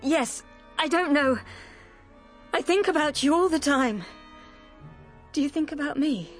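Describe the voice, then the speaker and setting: A woman's voice: high voice, a little slow, faint music behind. An actress in a radio drama playing a lovelorn, fragile and indecisive young woman. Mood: yearning.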